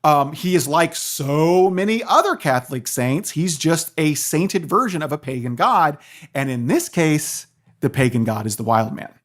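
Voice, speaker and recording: A man's voice: on the high side, expressive, moderate pace. A man speaking as a guest professor in an interview, explaining things in a professorial way and checking in with listeners.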